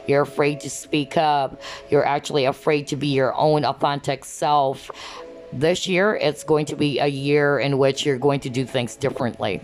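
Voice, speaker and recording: A woman giving an earnest tarot card reading, often asking "okay?" as she talks, and her voice is going hoarse. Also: deep voice. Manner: earnest, repeats "okay?" to viewers. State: losing her voice. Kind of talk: pick-a-card reading to camera.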